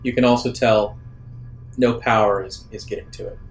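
A man runs a tabletop roleplaying game as game master, with a mid-pitched voice. He improvises his narration in halting, thoughtful phrases, with music behind him. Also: steady tone, poor audio.

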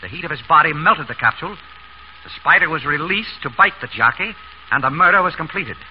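Actor as animated 1930s radio doctor-detective. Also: male voice, fairly high pitch, fairly quick, lightly acted. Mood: deliberate, pointed. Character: wry, decisive male doctor turned amateur detective. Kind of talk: 1930s radio drama acting. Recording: dull, noisy 1930s radio recording.

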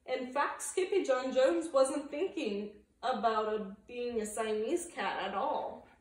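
A woman's voice: fairly high pitch, takes it slowly. A young woman narrating a children's picture book as a preschool storytime reader, with asides to the listeners. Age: young adult. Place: living room, indoors. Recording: roomy sound from camera distance.

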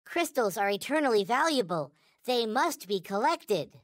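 A man voicing a small, naive, reverent toy space alien in a video game, with a high childlike cartoon voice and solemn, stilted, formal phrasing. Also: big pitch swings, normal speed, fairly bright tone, dry recording, studio quality, exaggerated voice. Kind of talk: video game character voice lines.

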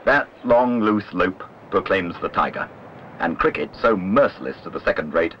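A man reading a literary documentary narration in a measured, wry way. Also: deep voice, lively intonation. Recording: dull lo-fi old film soundtrack, clear noise.

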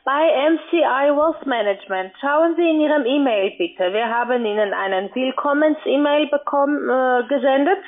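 High-voiced insistent woman on phone line. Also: normal speed, few pauses. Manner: formal, evasive, insistent. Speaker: call center agent. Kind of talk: phone sales call. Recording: tinny band-limited phone line.

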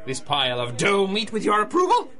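An actor playing a gleeful, scheming gangster boss in a 1930s radio drama, with a theatrical, taunting manner. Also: male voice, high-pitched, huge pitch swings, fairly quick, pushed and bright, exaggerated voice. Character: gleeful, scheming male gangster crime boss, the Mad Monkey.

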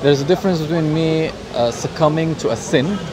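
A man's voice: fairly high pitch, brisk. A man in his thirties debating outdoors in a park, speaking hesitantly with many fillers as he searches for words. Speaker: debate opponent. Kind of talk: public debate. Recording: loud crowd noise outdoors.

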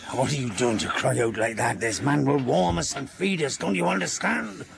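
An actor playing a bossy, wary sailor with archaic rustic seafaring speech in a radio drama. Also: male voice, mid-range voice, normal speed, gravelly, a crowd in the background. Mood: tense, angry. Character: bossy wandering sailor, wary smooth talker.

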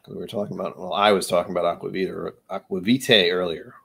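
Guy in his 30s rambling on a home stream, kinda low voice, jokes around a lot. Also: steady tone, quick pace, vocal fry, fairly bright tone, husky. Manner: rambling, self-mocking, dabbles in joke accents. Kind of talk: casual livestream chat. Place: home room with shelves of bottles.

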